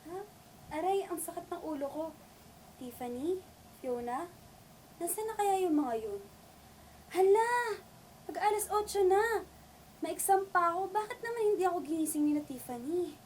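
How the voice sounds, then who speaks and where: A woman's voice: extremely high pitch, lively intonation, moderate pace, pauses often, darkish tone, distant mic. A woman with a Filipino accent playing a whiny young provincial college student in an amateur radio drama. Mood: panicked. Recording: noisy amateur recording.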